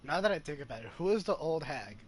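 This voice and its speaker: A man giving casual playthrough commentary as a gamer, halting and musing as he goes. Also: on the high side, sing-song, slight background noise. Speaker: gamer, video host.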